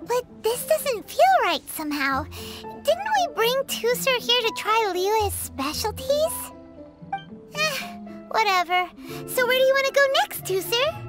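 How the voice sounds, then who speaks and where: A woman's voice: extremely high pitch, big pitch swings, a little slow, over music, heavily acted. A woman voicing a tiny, chirpy, bossy childlike fairy companion in a video game. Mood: queasy, breezy. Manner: refers to herself by name. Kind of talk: animated video game voice acting.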